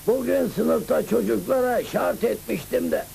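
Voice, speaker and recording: Very creaky, high old schoolmaster. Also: male voice, a little slow, dark tone, full character voice. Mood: flustered, sheepish. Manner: hesitant, sheepish. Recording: hissy old cartoon soundtrack.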